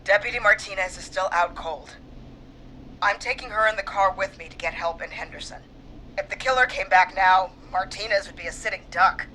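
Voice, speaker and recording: An actress voicing a frightened female police dispatcher in a horror video game, speaking urgently and haltingly. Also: medium pitch, pushed and bright, slight background noise, natural voice, rough recording. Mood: urgent, focused.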